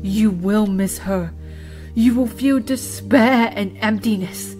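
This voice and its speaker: A woman voicing a wise, scolding female zebra who speaks in dramatic, deliberate rhyme in an audio drama. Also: mid-range voice, mellow, soft background music, close to an everyday voice. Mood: gentle counsel. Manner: deliberate, rhyming, dramatic delivery. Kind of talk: fan audio drama acting.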